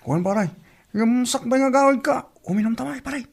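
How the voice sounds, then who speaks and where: A man's voice: very high-pitched, moderate pace, vocal fry, a little mellow, exaggerated voice. A man acting a friendly village drinking buddy in an Ilocano comedy radio drama, with a Filipino Ilocano accent. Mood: friendly, insistent.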